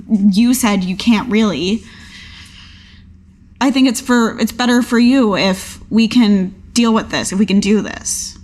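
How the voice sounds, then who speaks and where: A woman playing a tabletop role-playing game, bantering casually in an earnest, playful way. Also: on the high side.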